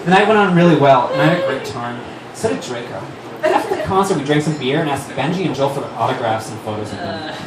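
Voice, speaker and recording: A man's voice: medium pitch, lively intonation, quick pace. A man giving a theatrical, overdramatic comic reading of a story on stage. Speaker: writer-director and actor, performing reader. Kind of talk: comic dramatic reading of fan fiction. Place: on stage at a live fundraiser. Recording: echoey distant stage recording, noisy.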